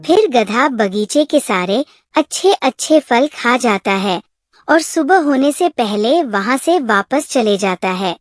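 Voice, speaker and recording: A woman's voice: on the high side, no echo, studio quality. A woman narrating a scripted moral story for a cartoon.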